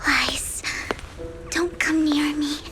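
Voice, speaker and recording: A devoted, dramatic young heroine speaks in breathy whispers and anxious, fussing questions. Her voice sits very high, and a game score plays clearly behind her. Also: steady tone, extremely slow, a bit bright, exaggerated voice. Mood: hushed. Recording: game cutscene with music behind.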